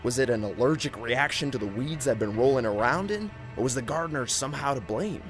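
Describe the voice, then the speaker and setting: A man narrating a scripted first-person horror story in an expressive, suspenseful storytelling manner. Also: mid-range voice, a little fast. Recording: music bed under narration. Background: background music.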